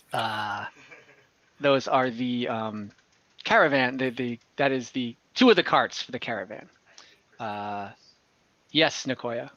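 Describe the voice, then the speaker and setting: A man narrating an online tabletop game as game master, often pausing mid-sentence as he describes scenes. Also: medium pitch, fairly bright tone. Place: indoor room, online call. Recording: dull, roomy home recording.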